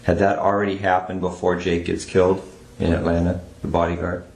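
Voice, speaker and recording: A man's voice: deep voice, flat delivery, a little fast, gravelly, a little mellow. An American man working as a police interrogator, asking questions in a casual investigative way. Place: indoor police interrogation room. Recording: echoey police interview room recording.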